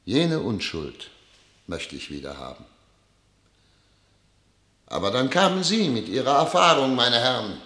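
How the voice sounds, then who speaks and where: A troubled ruler in a radio play. He declaims very slowly, with weighty pauses and grand, remorseful gravity. The words ring through a long, echoing hall. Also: mid-range voice, sing-song, pushed and bright, exaggerated voice. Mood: remorseful, solemn. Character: troubled male head of state, grandiose and remorseful. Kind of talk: theatrical German radio drama acting.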